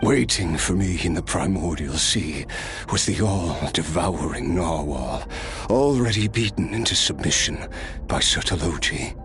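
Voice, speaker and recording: Game casting: wry immortal fighter, wistful and weary, low voice, slow delivery, music underneath. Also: male voice, animated. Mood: solemn reminiscence. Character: immortal old warrior, weary, wistful, wryly good-humored man. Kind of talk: dramatic video game voice acting, storytelling.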